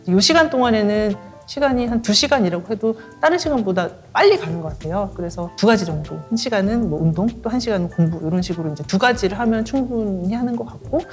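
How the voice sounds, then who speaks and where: A woman of forty, an author being interviewed, answering in a measured, polite way with light laughs. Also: mid-range voice. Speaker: author guest.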